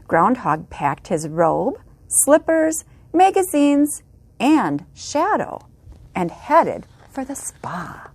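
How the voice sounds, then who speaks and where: Woman librarian, story-time reader, lively sing-song pitch, unhurried, dry close sound. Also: dry recording. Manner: animated, with whispered asides. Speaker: children's librarian. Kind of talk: children's story time reading.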